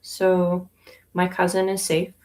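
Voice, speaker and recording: A young adult woman from Canada, a yarn shop owner, chatting casually on a livestream while she knits, relaxed and unhurried, with plenty of ums and pauses. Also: fairly low pitch. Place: inside her yarn shop.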